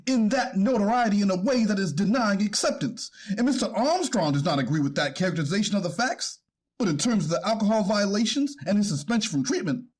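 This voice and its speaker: Defense lawyer, acted by a man: high-pitched, very animated, politely contesting in a courtroom play. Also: husky. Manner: explanatory, polite but contesting. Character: argumentative, apologetic male defense attorney in court. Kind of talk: amateur readers theater courtroom drama.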